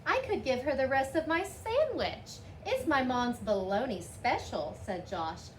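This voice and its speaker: A woman's voice: sits high, sing-song, measured pace, reverberant, poor audio. A woman reading a picture book aloud expressively, lifting her voice for the children's lines. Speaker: storybook reader. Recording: faint background noise.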